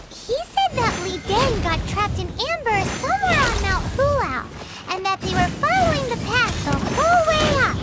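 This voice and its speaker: A woman voicing a tiny childlike fairy companion in a video game, squeaky, chatty and cheeky. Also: heavy background noise. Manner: refers to self in third person, cheeky.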